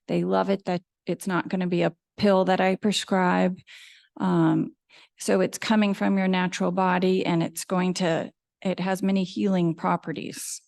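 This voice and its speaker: A woman in her fifties giving a lecture as a dermatologist, measured and explanatory with frequent ums. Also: fairly low pitch, creaky, darkish tone, no echo, studio quality. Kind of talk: lecture presentation. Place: lecture hall with live audience.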